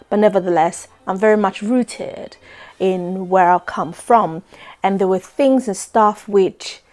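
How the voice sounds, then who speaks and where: A woman's voice: mid-range voice, darkish tone. Woman, middle-aged, earnest host monologue, faint music under her voice. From Democratic Republic of Congo. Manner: earnest, repeats words, frequent "you know". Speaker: life coach and show host. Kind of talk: talk-show monologue.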